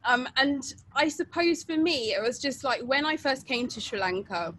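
A woman's voice: high-pitched, lively intonation, moderate pace, fairly bright tone, a little hiss or hum. A middle-aged woman from England telling her story in a casual, chatty way, with many fillers and laughs, over a webcam video call. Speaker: interviewee. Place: outdoor porch at night.

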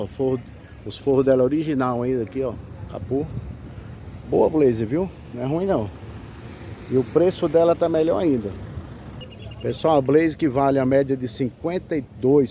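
Brazilian car salesman, slightly dark voice outdoors. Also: mid-range voice. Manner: informal, repetitive sales patter. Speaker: used car broker presenting a vehicle for sale. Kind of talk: casual sales walkaround video, addressing viewers. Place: outdoors at a roadside car fair. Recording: outdoor street noise, faint traffic.

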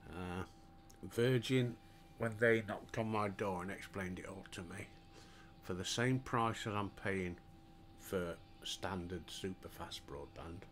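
Older Yorkshire gamer, low, unhurried, self-deprecating, home headset mic. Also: male voice, a bit bright, slight background noise. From Yorkshire, England. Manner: chatty, self-deprecating, talks to himself while playing. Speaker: gaming streamer. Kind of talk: relaxed gameplay commentary.

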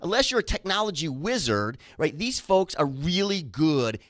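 A man's voice: on the high side, sing-song, moderate pace, a bit bright, no echo, clean studio sound. A man co-hosting a retirement finance radio show, talking conversationally with many "you know"s and "right?"s. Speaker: co-host, financial instructor.

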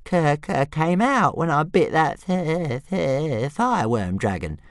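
A man voicing a small young dragon that whines and stammers in a high, thin voice. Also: expressive, slow, dry recording, studio quality. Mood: whining. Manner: stammering, whiny.

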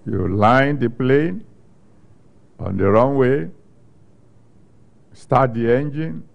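Slow, deliberate older male pastor. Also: medium pitch, animated, gravelly. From Nigeria. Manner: slow, deliberate delivery with long pauses. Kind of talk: sermon with scripture reading. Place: studio stage with a large screen behind. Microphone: handheld microphone.

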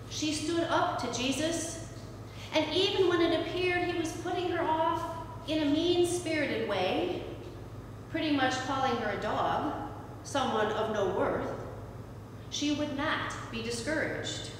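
A middle-aged woman pastor, preaching a reflective sermon from a lectern with deliberate pauses. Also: high voice, slight background noise. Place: church sanctuary, standing at a lectern beside the altar. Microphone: far camera, room sound. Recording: echoey church from far off.

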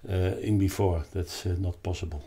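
An older man with a Dutch accent explains a product off the cuff, haltingly searching for words. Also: low-pitched, fairly even intonation, warm tone, a little hiss or hum. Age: 60s or older. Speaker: loudspeaker designer presenting his product. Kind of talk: unscripted explanatory product talk. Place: carpeted, wood-panelled listening room indoors.

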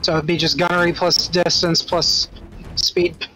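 A man's voice: a little high, little pitch movement, a little slow, sharp tone, soft background music, poor audio. A man talking casually as a player in a tabletop game. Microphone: online group video call.

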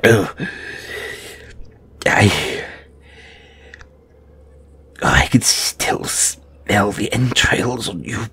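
A man's voice: lowish voice, very slow, pauses often, pushed and bright, breathy edge, heavily acted. A man acting a squeamish, timid gargoyle handler in an audio roleplay, stammering and speaking with nervous, formal politeness. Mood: flustered, disgusted.

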